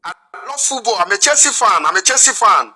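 Cheery nigerian guy in his 30s on a video call, high bright voice, says everything twice. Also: sing-song, fairly quick, a little hiss or hum. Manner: repeats each phrase, cheerful and friendly. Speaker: video call chat partner. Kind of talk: casual video call chat. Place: indoors at home.

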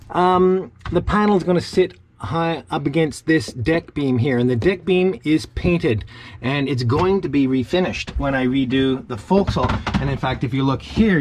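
A man in his forties talks to a close handheld camera, chatting and thinking aloud as he works. His voice sits a little high for a man. Clear background noise runs behind him. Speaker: vlogger. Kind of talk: casual how-to vlog. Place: inside a wooden boat, outdoors at a marina, in a truck. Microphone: handheld action camera, close.

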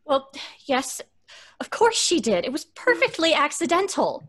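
A woman's voice: sits high, big pitch swings, unhurried, a bit bright, heavily acted. A woman playing a sensible, firm, protective young woman, earnest and emphatic, in old-time radio drama style.

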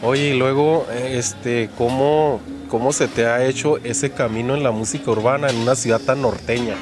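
A man's voice: medium pitch, steady tone, normal speed, over music. A man in his thirties hosting an interview outdoors, chatty and friendly, with a handheld mic and many fillers. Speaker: host. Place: outdoors on a park bench by a street.

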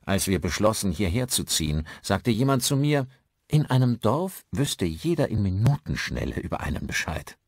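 A man's voice: on the low side, big pitch swings, normal speed, slightly rough, no room sound, studio quality, heavily acted. A man playing a polite, inquisitive newcomer to a village in an audiobook, speaking casually.

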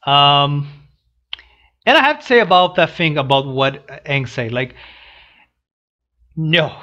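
A young man who is not a native English speaker, giving casual reaction commentary in a halting, hesitant way into a headset mic. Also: on the high side, a bit bright. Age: young adult. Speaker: reaction channel host. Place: small room indoors. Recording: dull lo-fi headset audio.

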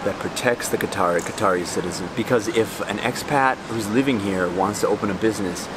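A man's voice: fairly low pitch, noisy background. A man in his thirties with an American accent, vlogging casually to a handheld camera in a large airport terminal, joking as he talks. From United States. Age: 30s. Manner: chatty and joking, quick asides. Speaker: travel vlogger. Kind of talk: casual vlog talk to camera. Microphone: handheld camera at arm's length.

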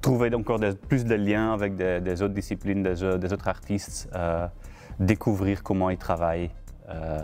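A circus artist from Belgium speaks with a low voice and frequent fillers. Clear music runs under him. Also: male voice, fairly even intonation, moderate pace, a little mellow. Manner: hesitant, many fillers. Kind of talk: casual interview.

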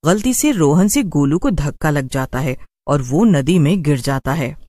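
A man's voice: a little high, expressive, unhurried, a little mellow, dry recording. A man narrating a scripted children's horror story as a storyteller.

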